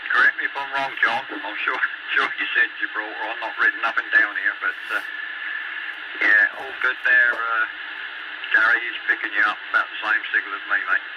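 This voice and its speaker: A man's voice: lowish voice, steady tone, brisk. A man making casual radio contact as an amateur operator, talking in radio jargon. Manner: radio jargon, spelling out with phonetic alphabet. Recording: dull lo-fi radio recording, loud static.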